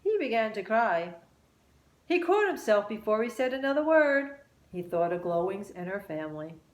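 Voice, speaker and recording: A woman narrating a children's story aloud in an expressive storytelling manner. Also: medium pitch, moderate pace, echoey room.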